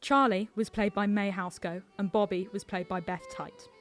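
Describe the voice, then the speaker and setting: Woman reading credits over soft music. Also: mid-range voice, fairly even intonation, moderate pace. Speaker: announcer reading closing credits. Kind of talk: scripted narration. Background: string music.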